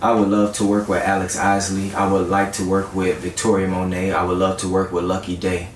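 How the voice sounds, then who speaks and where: Low-voiced man, echoey distant recording. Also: completely flat delivery, brisk. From South Florida. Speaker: guest R&B singer-songwriter. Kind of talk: casual interview chat. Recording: roomy echo, distant mic, faint noise.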